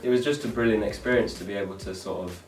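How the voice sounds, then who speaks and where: Man from yorkshire, lowish voice, relaxed, roomy echo, faint music behind. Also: fast talker, far from the mic. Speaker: audition finalist. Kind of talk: casual interview.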